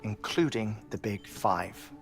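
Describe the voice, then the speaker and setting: A scholarly male scientist from a cinematic game. His middle-aged voice is creaky and measured, and he lays out ideas precisely and calmly. Faint music sits behind him. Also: lowish voice, exaggerated voice. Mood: pondering. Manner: precise, explanatory, thoughtful phrasing. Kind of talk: cinematic video game dialogue.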